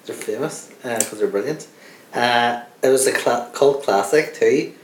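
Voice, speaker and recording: Irish man, young, rambling, halting, echoey room. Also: medium pitch. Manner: rambling, halting, lots of fillers. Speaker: podcast co-host. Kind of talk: casual film chat.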